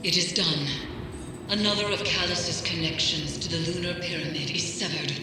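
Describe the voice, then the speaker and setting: An actress playing a grave, suspicious occultist in a video game briefing, speaking in a portentous, formal, deliberate way. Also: female voice, on the low side, steady tone, natural voice. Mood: brooding, suspicious. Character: brooding, mystical woman occultist, grave and suspicious. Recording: very echoey long reverb, noisy ambience.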